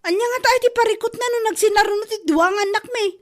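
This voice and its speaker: A woman's voice: very high-pitched, fast talker. A woman with an Ilocano accent playing a feisty, exclamatory village woman in a comedy drama. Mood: annoyed.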